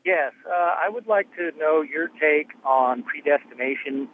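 A man's voice: a little high, measured pace, a little hiss or hum. Male caller on a tinny phone line. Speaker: radio show caller. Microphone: telephone.

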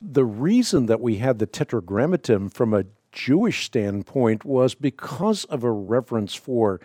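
Mellow, precise man hosting radio call-in. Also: medium pitch, sing-song, unhurried, no room sound, studio quality. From Netherlands. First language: Dutch. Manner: precise, lecturing, emphatic. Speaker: radio call-in host answering Bible questions.

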